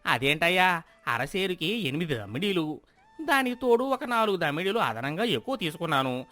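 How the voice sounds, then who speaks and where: A man's voice: a little high, big pitch swings, a little fast, sharp tone. A man voicing a deferential shop servant who explains himself to his master in a moral story.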